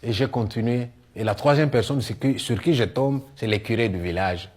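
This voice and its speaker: A middle-aged man with a Congolese French accent tells comic stories in a one-man show, acting out dialogue in an animated way. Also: medium pitch, moderate pace. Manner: animated storyteller, acting out dialogue, punctuating with "hein". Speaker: stage storyteller and comedian.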